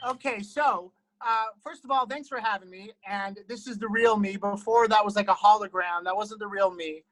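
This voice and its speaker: A man's voice: sits high, normal speed, low-quality recording. Guest, man, 50s, laid-back and joking, on a video call. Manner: relaxed, joking. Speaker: guest musician. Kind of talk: casual interview.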